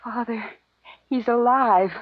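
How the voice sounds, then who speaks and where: An actress playing an anxious, emotional daughter in a 1950s radio drama, breathless and dramatic. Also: female voice, fairly high pitch, slow pace. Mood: eager, pleading. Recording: muffled, noisy old radio broadcast.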